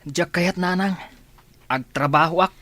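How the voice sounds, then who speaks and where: A man's voice: sits high, unhurried, pushed and bright. An actor with a Filipino accent playing a stubborn young male porter in a radio drama. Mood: defiant.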